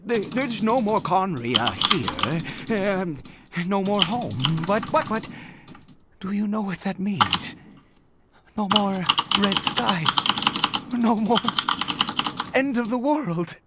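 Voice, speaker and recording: An actor playing an unhinged, doting father and sinister zealot in a video game, halting and theatrical in his speech. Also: high voice, expressive. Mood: anxious, doting. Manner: halting, stammering, theatrical, given to chuckling. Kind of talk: dramatic video game voice acting. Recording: loud keyboard clicks and game noise.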